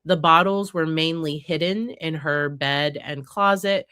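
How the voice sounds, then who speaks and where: A woman's voice: low-pitched, unhurried, sharp tone, smooth, dry recording, clean studio sound. A woman psychologist hosting a casual commentary on news cases, congested and nasal from a head cold, talking conversationally with frequent fillers. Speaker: psychologist host.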